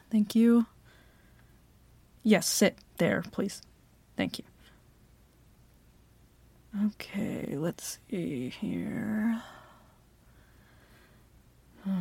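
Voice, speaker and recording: A bossy female CEO interviews a new applicant in a flirty ASMR roleplay, voiced by a woman. She talks slowly and softly, trailing off into fillers and teasing pauses. The sound is close and dry, with no room around it. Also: medium pitch, husky, dry recording, full character voice. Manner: soft, hesitant with many fillers, teasing.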